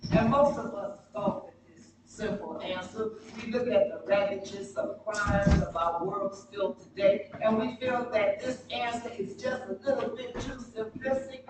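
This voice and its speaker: A woman preaching a sermon with emphatic, dramatic oratory and deliberate pauses. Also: on the high side. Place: church sanctuary during a live service. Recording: very echoey, distant mic, noisy church livestream.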